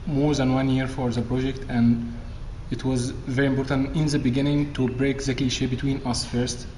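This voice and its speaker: Guy, even mellow voice, bit echoey room. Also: mid-range voice. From Egypt. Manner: non-native English, halting grammar. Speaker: theatre performer. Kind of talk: festival interview. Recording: echoey room with faint noise.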